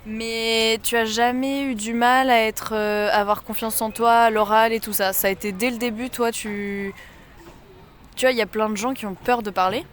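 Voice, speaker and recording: A woman hosting a casual street interview, asking questions in a quick, chatty way and encouraging her guest with frequent agreeing murmurs. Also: a little high, steady tone, slight background noise. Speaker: interviewer, channel host. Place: outdoors on a busy city street.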